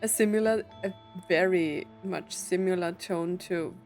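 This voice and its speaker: A woman's voice: on the low side, measured pace, a little mellow. Woman musing aloud over a game. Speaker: gaming streamer. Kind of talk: casual let's play commentary. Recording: faint game music behind.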